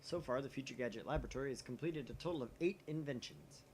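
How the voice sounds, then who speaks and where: A man reading a visual novel script aloud as a let's play host, with expressive delivery and casual asides. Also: mid-range voice, quick pace. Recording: noisy room mic, slightly off to the side.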